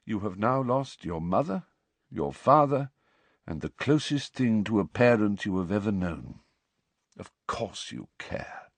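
A man voicing a calm, very old male headmaster who speaks quietly and gently. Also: medium pitch, measured pace, no room sound. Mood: calm, gentle.

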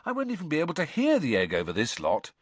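A man's voice: a little high, wildly swooping pitch, fast, dry recording, pitched above the performer's own voice. A man voicing a plain, slightly defensive teenage schoolboy.

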